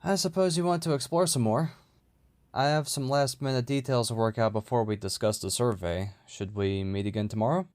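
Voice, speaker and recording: A man's voice: medium pitch, fairly quick, a little mellow, close to an everyday voice. A man playing a genial, familiar older village lord in an audio drama, by turns teasing and regretful. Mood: curious, polite.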